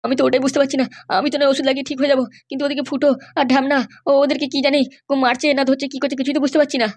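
A man's voice: very high-pitched, completely flat delivery, racing. A man voicing an injured, aggrieved older uncle in an amateur comedy cartoon, complaining emphatically. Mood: pained, worried.

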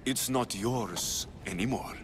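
A man's voice: fairly low pitch, takes it slowly. A man playing a tough, blunt ancient Greek mercenary warrior in a video game cutscene, defiant and matter-of-fact. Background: music.

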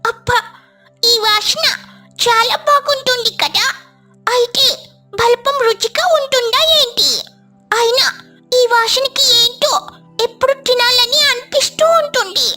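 Casting: tiny schoolgirl sparrow, squeaky and bright, greedy chatterbox, children's cartoon with music behind. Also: measured pace, pauses often, heavily acted. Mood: delighted, curious. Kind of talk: children's cartoon story dialogue.

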